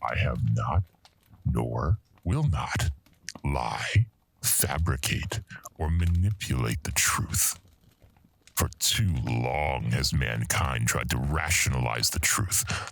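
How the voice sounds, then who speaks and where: An extremely low male voice narrates horror radio drama as an ominous, otherworldly storyteller. He is solemn and theatrical, with wide swoops of pitch. There is faint noise behind him. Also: creaky, fairly bright tone, full character voice. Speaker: anthology narrator.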